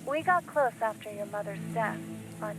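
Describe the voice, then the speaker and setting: A woman's voice: medium pitch, expressive, moderate pace, music in the background, lightly acted, rough recording. An actress playing an earnest, insistent woman journalist in a video game, polite but urgent and worried. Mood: polite, anxious. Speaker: supporting character in a video game. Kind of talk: scripted game voice acting.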